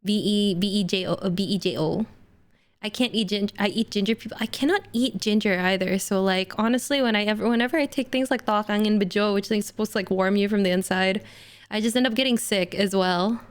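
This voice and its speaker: A woman's voice: medium pitch, brisk. A woman streamer with a light Indonesian accent, chatting casually and jokily with her viewers while feeling unwell with an upset stomach. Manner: chatty, giggly, jokey.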